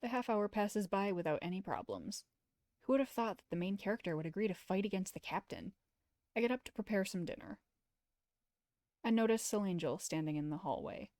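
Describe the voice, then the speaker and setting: A woman streamer reading a visual novel aloud as expressive first-person story narration. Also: medium pitch, a little fast, no echo.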